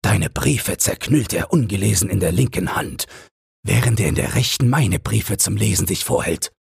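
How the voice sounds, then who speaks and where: Vengeful old father for a literary audiobook, performed by a male reader: mocking, condemning, breathy-rough voice, recorded very dry. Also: lowish voice, expressive, normal speed, dry recording, voice pushed up, exaggerated voice. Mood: scornful, triumphant. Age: older. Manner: taunting, declamatory, condemning. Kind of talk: dramatic audiobook character reading.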